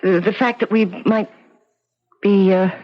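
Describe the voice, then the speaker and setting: A woman's voice: medium pitch, fairly even intonation, measured pace, lightly acted. Woman playing anxious, earnest young female sleuth. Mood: anxious, probing. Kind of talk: 1970s radio drama acting. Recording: muffled old radio drama recording.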